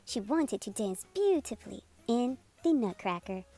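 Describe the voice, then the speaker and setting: A woman reading a children's picture book aloud as a storytime host, in an expressive, unhurried storytelling manner. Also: a little high. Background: music playing under the voice.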